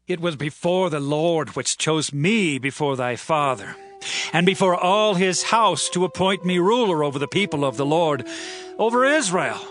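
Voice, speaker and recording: King David speaks in a somewhat high, earnest voice. His lines come as fervent, humble declarations to his God. Faint music plays behind him in this audio drama. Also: lively intonation, normal speed, fairly bright tone, no room sound. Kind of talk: dramatized scripture reading.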